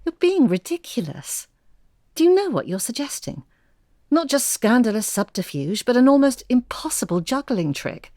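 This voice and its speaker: A woman's voice: on the high side, very animated, moderate pace, a little mellow, dry recording, clean studio sound. An actress playing a defensive, guilt-ridden middle-aged woman in an audio drama, speaking in an earnest, protesting way. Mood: indignant.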